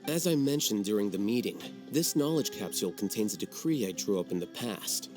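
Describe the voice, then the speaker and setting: A man playing a composed, aloof young scholar in a video game, speaking in a measured, matter-of-fact and articulate way. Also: on the low side, animated, over music, close to an everyday voice. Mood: cool, businesslike. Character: composed, aloof young male scholar, calculating and self-assured.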